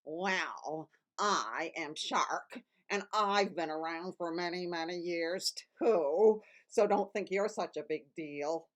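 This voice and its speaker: A woman's voice: fairly low pitch, takes it slowly. A woman voicing a cocky old shark puppet with an emphatic, bragging delivery.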